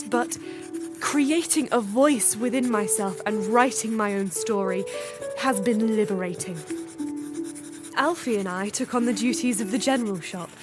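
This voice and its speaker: Actress as slow-speaking, earnest genteel young Englishwoman. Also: mid-range voice, natural voice. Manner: formal, carefully enunciated, heartfelt. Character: earnest, genteel young Englishwoman of 1910s, romantic, independent-minded. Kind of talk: period radio drama acting, diary narration.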